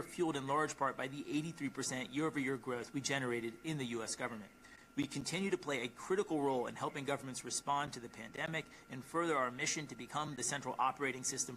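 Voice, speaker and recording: Bright-voiced man reading formal business remarks. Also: medium pitch, fast talker, slight background noise, low-quality recording. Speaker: company executive. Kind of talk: prepared earnings call remarks.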